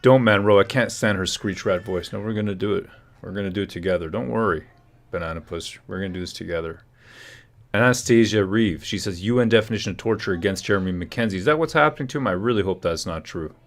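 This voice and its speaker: A man's voice: on the low side, fast talker. A man hosting a livestream, rambling sarcastically and talking over clips. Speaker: livestream host. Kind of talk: casual livestream commentary.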